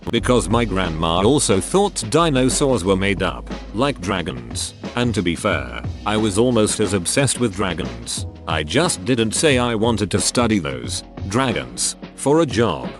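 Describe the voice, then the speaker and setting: A man reads forum stories aloud in a low voice that still rises and falls with plenty of movement. His delivery is steady and scripted, moving from one first-person post to the next. Soft music plays faintly underneath. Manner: steady read-aloud delivery, switching between many first-person posts. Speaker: narrator reading online forum posts. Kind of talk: scripted narration. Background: faint background music.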